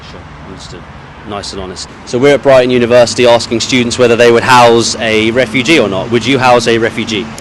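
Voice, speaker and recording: A man's voice: mid-range voice, fast, hardly any pauses, slight background noise, rough recording. A man interviewing students on the street with a handheld mic, asking probing, leading questions and repeating his words. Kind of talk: vox-pop street interview. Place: outdoors on a street near a university.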